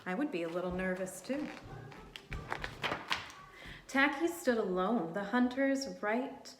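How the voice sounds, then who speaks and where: A woman reading a storybook aloud to young children, expressively, pausing to put questions to her listeners. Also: mid-range voice, animated, music in the background. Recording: roomy, echoing sound.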